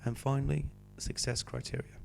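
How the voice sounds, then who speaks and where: A male teacher with a cold, hoarse and croaky, patiently talking students through a homework sheet. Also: mid-range voice, flat delivery, fairly quick, noisy background. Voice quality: croaky, slips into whispery breaks. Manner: patient, explaining step by step. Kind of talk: homework help walkthrough.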